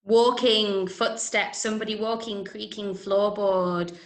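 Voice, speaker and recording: A woman with an English accent teaching an interactive online drama workshop, giving clear instructions and encouraging pupils as she repeats their answers. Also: mid-range voice, steady tone, a little slow. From Manchester, UK. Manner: clear step-by-step instructions to children. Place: room, online video lesson. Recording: echoey room sound.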